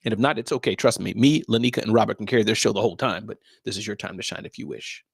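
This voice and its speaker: A man hosting a live online business show, energetically shouting out viewers and guests by name. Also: lowish voice, rapid-fire, gravelly, slightly rough, dry recording, clean studio sound. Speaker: host.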